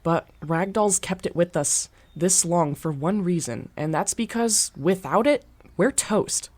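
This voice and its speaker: Earnest, lowish-voiced circus performer. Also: female voice, measured pace, darkish tone. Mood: uneasy, earnest. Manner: earnest, reasoning. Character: concerned, level-headed female circus performer. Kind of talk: radio drama acting.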